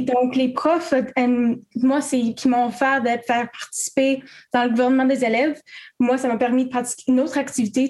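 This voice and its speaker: A woman's voice: high voice, darkish tone. A young woman from Quebec, answering as a student panelist in a casual, hesitant way with many fillers.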